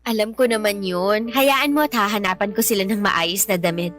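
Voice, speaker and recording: A woman playing a weary, sulky pregnant wife and mother in a radio drama, with a plaintive, expressive delivery. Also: mid-range voice, normal speed, lightly acted. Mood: hopeful, appeased. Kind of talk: radio drama acting in dialogue.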